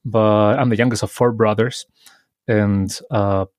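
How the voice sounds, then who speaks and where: A man's voice: on the low side, fairly even intonation, measured pace, darkish tone, no room sound. Man hosting a podcast, dry sound. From Chile. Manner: reflective, storytelling, lightly laughing. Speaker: podcast host. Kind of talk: conversational podcast interview.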